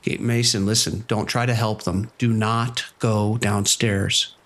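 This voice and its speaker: A gravelly, firm vice cop. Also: male voice, fairly low pitch, flat delivery, a little slow, a bit bright. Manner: firm, commanding. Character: steady male vice cop on the radio. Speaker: police officer.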